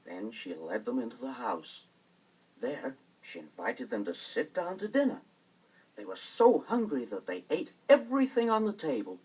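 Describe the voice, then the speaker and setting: Male bedtime storyteller: lively, swooping delivery, slightly husky, on an old vinyl record with music. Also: mid-range voice, moderate pace, far from the mic, poor audio. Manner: dramatic, expressive. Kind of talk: scripted children's bedtime story narration.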